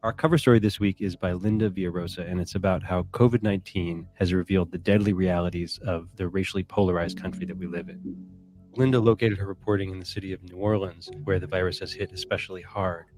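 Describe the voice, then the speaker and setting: A man's voice: low voice, fairly even intonation, fast talker, darkish tone. A man presenting a magazine feature in a documentary narration style, as an editor explaining a story. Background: music bed.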